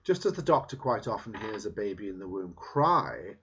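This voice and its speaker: Male audiobook-style reader, husky voice, animated intonation, emphatic pauses; dull lo-fi recording. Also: on the low side. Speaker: reader of a book chapter. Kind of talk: scripted read-aloud narration, audiobook style.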